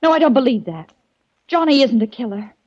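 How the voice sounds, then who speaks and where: Breathy, high, anxious club singer, old radio sound. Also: female voice, very animated, a little fast. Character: young nightclub singer, frightened. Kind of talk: 1940s radio acting. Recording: dull old radio recording.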